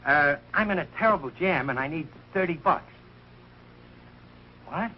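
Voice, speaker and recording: A blustery, excitable working-class husband. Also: male voice, a little high, measured pace. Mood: hopeful, flustered. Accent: American. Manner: blustery, colloquial, plaintive. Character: bumbling, hard-up working-class family man, excitable and good-hearted. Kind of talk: 1940s TV sitcom acting. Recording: muffled, noisy 1940s television soundtrack.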